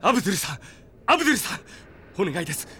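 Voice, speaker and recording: An actor playing an earnest, polite young man grieving for a comrade in an anime audio drama, soft and pleading, dropping toward whispers. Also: high-pitched, sing-song, racing, slight background noise, full character voice. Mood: pleading, distraught.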